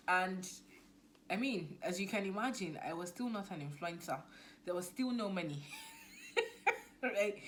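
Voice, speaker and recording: A young woman with a Nigerian accent talking casually to camera as a business coach, lively and now and then dropping to a near-whisper. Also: medium pitch, slight background noise. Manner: chatty, animated, drops to near-whisper asides.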